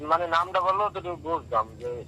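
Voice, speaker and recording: A man with a Bangladeshi Bengali accent in a casual phone conversation, speaking colloquially and haltingly and often repeating himself. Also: on the high side, expressive. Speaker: prank call recipient. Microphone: telephone. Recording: tinny phone call with faint noise.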